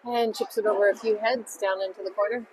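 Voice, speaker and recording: A woman's voice: mid-range voice, steady tone, fast, darkish tone. A woman doing casual play-by-play at a hockey game, cheering on her own team. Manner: chatty, rooting for the home team. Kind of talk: amateur play-by-play commentary. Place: ice rink. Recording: noisy rink ambience.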